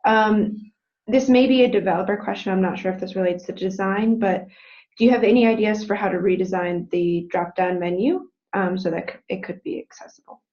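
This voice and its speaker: A woman moderating an informal webinar Q&A, relaying questions with hesitant pauses between phrases. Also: mid-range voice, steady tone, darkish tone. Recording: dull, band-limited webinar audio.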